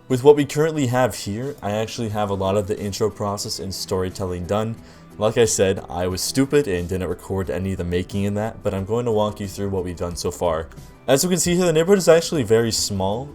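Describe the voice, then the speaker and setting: Low-voiced man narrating a devlog over music. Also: darkish tone. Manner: addresses viewers directly, explanatory. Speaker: video creator, game developer. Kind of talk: scripted video narration, devlog.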